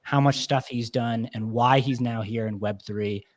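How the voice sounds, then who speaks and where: A man's voice: medium pitch, fairly even intonation, unhurried. A man hosting a podcast, chatty and enthusiastic, with many "like" fillers. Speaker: podcast host. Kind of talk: casual interview talk.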